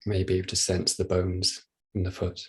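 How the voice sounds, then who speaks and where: A man leading a guided body scan meditation, speaking gently and without hurry, leaving long pauses. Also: deep voice, monotone, slightly rough. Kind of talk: guided meditation, soft instruction.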